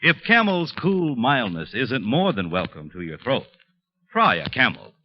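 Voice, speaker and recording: A man's voice: medium pitch, huge pitch swings, measured pace, highs cut off, over music. An American man announcing in a polished, emphatic 1940s radio advertising style. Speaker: radio announcer and cigarette pitchman. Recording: old 1940s radio broadcast.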